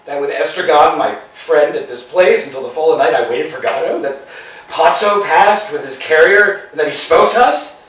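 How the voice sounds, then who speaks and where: A man plays a restless, brooding tramp whose voice rides up and down as he muses, sometimes dropping to a hush, sometimes pressing hard. He sits a little high and sounds far from the mic in a big echoing room. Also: animated, normal speed, slight background noise. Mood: musing. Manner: brooding, swings from hushed to emphatic. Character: anxious philosophizing male tramp, restless. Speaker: actor in a staged reading. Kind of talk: amateur staged play reading. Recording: echoey room, distant mic.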